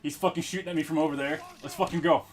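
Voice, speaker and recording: A man streaming a video game and chatting casually, blunt and profane, with excitable outbursts. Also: on the high side, rapid-fire.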